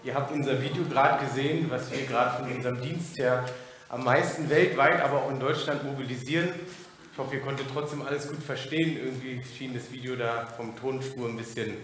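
A man's voice: mid-range voice, fairly even intonation, brisk, a bit bright, a little hiss or hum. A man in his thirties from Germany, speaking informally to a church congregation as a guest preacher, with frequent filler sounds.

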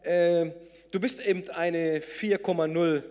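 A man's voice: fairly high pitch, steady tone, takes it slowly, darkish tone. A man with Portuguese as his first language, preaching a sermon to a congregation in a conversational way, with many fillers and restarts that rise to emphatic moments. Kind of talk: sermon with a personal story. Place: church hall before a congregation. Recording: long hall reverb.

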